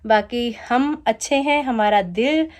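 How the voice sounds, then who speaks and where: A woman in her thirties talks straight to her viewers in a casual home vlog, with a slightly high voice. She chats easily and teasingly, laughing as she goes. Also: slow pace. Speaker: vlogger. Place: home at night, DJ music playing outside.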